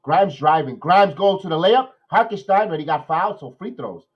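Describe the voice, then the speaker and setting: A man, nasal from a cold, hosting a watch-along podcast, calling plays with excitement and then rambling through his opinions. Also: fairly high pitch, moderate pace, slightly rough, highs cut off. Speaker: podcast host doing live play-by-play. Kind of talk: watch-along game commentary and chat.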